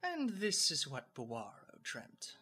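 A woman's voice: deep voice, huge pitch swings, slow, sharp tone, a little hiss or hum, full character voice. A woman performing scripted storybook narration of a comic adventure in a motion comic radio play. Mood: measured. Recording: music behind the voice.

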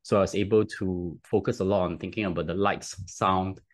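A man's voice: low-pitched, fairly even intonation, brisk. A man in his thirties with a Singaporean accent, a podcast guest explaining at length on a video call with a headset, often restarting and adding "right?". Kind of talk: casual interview talk. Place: home room, video call.

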